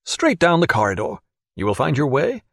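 Man voicing a genial, polished gentleman, lively intonation. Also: a little high, moderate pace, vocal fry, no room sound, studio quality, heavily acted. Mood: genial, brisk. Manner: urbane, expansive, courteous. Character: genial, polished wealthy gentleman, self-assured host. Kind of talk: audiobook character voice.

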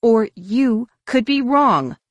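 A woman voicing a sceptical, combative female warrior in a space-opera audiobook, challenging and sharp-tongued. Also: medium pitch, big pitch swings, measured pace, vocal fry, slightly rough, no room sound, muffled recording, full character voice. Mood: angry challenge.

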